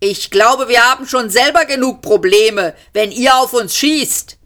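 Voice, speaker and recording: A woman voicing a brash male alien adventurer, shouting with exasperation. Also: fairly high pitch, measured pace, pitched above the performer's own voice. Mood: exasperated.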